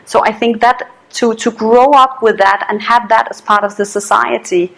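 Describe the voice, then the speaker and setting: A woman's voice: on the high side, steady tone, creaky, rough recording. Woman, stumbling repeats. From Denmark. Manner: talks in quick bursts with many repeated words. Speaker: panel speaker. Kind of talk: seminar panel discussion.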